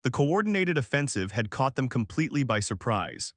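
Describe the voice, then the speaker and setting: A man's voice: mid-range voice, very animated, a little fast, a bit bright, no room sound, studio quality. A man reading a dramatic science fiction story as a scripted narrator.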